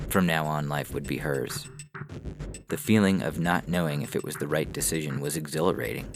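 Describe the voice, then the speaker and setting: Very deep-voiced male story narrator. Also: brisk, gravelly, over music.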